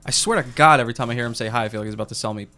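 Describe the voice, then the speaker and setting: A man hosting a gaming podcast, talking in a quick, joking way and swearing a lot. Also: mid-range voice, pushed and bright, faint music behind. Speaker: podcast host. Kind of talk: casual chat.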